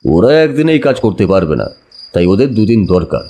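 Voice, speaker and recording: An actor playing a calm, confident male detective giving instructions in an audio drama. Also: fairly low pitch, moderate pace. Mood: assured, urgent. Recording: reverberant, music under the voice.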